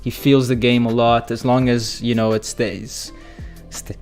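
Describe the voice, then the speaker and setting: An excitable man hosting a live football fan channel, calling his viewers "guys" as he reads their comments. His voice is hoarse and going from shouting, set at an ordinary middle pitch. Faint music plays underneath. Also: unhurried. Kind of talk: live-stream fan commentary and chat reading.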